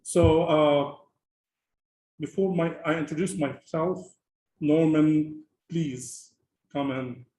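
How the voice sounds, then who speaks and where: A man's voice: fairly high pitch, slow, pauses often, mellow, echoey room, a little hiss or hum, poor audio. A man from Lebanon moderating an online panel discussion, talking casually with many fillers and restarts over a video call.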